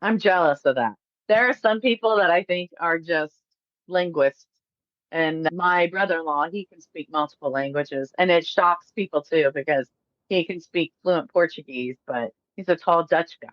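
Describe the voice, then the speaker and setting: Lady host, lowish voice, dry dull mic, lots of yeahs. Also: normal speed, no room sound. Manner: affirms often with short yeahs, asks questions. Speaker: podcast host. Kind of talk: casual chat.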